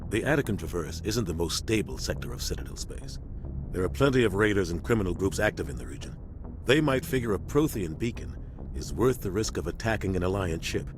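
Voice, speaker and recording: A grave, commanding middle-aged captain with a very low voice. He briefs in measured, authoritative military fashion, as in a sci-fi video game. A clear engine rumble sits behind him. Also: big pitch swings. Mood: earnest, explaining. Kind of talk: video game dialogue acting.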